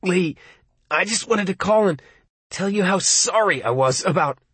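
A desperate teenage boy croaks his lines with strain, defensive and cornered, as a male audiobook narrator voices him. The voice is husky and dry, close to the reader's own. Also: high voice, animated, measured pace, a bit bright, no echo, pitched above the performer's own voice, exaggerated voice.

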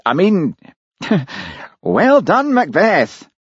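A man's voice: mid-range voice, very animated, normal speed, a little mellow, husky, no room sound, dull audio, voice pushed up. A man playing a pompous, fussy, easily flustered senior police chief in an audiobook. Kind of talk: audiobook character voice.

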